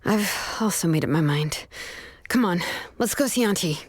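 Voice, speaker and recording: A woman's voice: lowish voice, expressive, normal speed, natural voice. A woman playing a frank, businesslike young female craft expert in a video game, firm and matter-of-fact. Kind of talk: video game dialogue acting.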